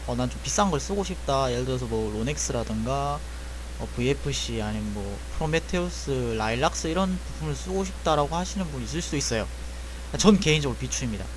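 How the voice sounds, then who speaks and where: A man's voice: on the high side, a little hiss or hum, rough recording. A man walking viewers through buying airsoft gearbox parts, explaining casually step by step and often correcting himself mid-sentence. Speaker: airsoft repair tutor. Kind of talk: screen-recorded parts-shopping walkthrough.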